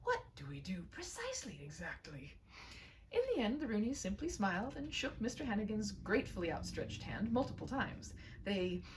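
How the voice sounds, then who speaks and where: A woman reading a humorous short story aloud as an expressive storyteller. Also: medium pitch, distant mic, a little hiss or hum, rough recording.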